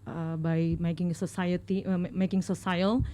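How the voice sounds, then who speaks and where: A woman from Indonesia with an Indonesian accent, speaking as a radio guest in halting English. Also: on the low side, little pitch movement, mellow. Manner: halting, many fillers and restarts. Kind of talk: casual talk in English as a second language.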